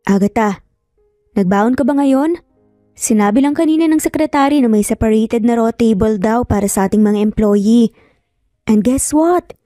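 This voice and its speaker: Woman acting a high-pitched, chatty young office coworker. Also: normal speed, dark tone, no room sound, clean studio sound, faint music behind, natural voice. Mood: excited, teasing. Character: cheerful, gossipy female office coworker, young adult. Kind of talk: Tagalog audio story dialogue.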